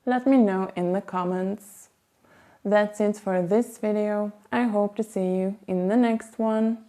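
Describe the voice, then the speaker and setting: Slow, mellow Belgian Dutch-accented woman. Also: mid-range voice, fairly even intonation. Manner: slow, careful, measured delivery. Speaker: language vlogger. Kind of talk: scripted vlog talk to camera.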